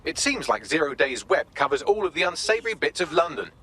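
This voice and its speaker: Wry, high-pitched, animated AI assistant. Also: male voice, fairly quick, a little hiss or hum. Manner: dry sarcasm, articulate. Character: wry, sardonic male AI assistant. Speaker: AI assistant briefing operatives. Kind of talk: scripted game dialogue, briefing.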